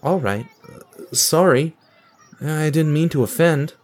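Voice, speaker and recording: A man's voice: fairly high pitch, slow pace, darkish tone. A man playing an awkward, apologetic, burnt-out office worker in an audio drama, speaking hesitantly and earnestly.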